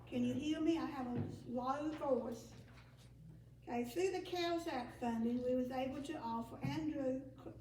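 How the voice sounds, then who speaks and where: High-voiced woman in an echoey hall. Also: darkish tone, slight background noise. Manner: reads aloud with small slips and restarts. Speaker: senior center director. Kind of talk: reading prepared remarks at a meeting. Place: large meeting room. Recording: echoey room, distant mic, faint ambience.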